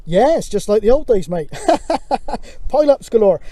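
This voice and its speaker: A man with a notably high voice runs a CB radio net. He talks casually to callers, with clear radio noise around him. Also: sing-song, unhurried. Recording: very noisy CB radio audio.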